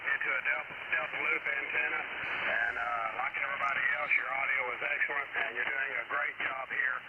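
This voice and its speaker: A man's voice: mid-range voice, flat delivery, brisk, dull audio. Man caller in clipped radio jargon. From Tennessee, US. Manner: clipped radio procedure talk. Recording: noisy shortwave radio signal.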